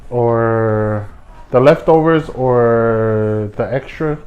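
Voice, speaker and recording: Slow, hesitant man guessing word meanings. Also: medium pitch, phone-quality sound. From Tonga. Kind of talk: casual family game.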